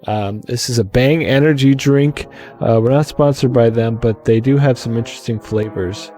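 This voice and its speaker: A man's voice: mid-range voice, normal speed, dark tone, soft background music. Rambling male let's play host. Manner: rambling, lots of fillers and restarts. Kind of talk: casual live-stream commentary.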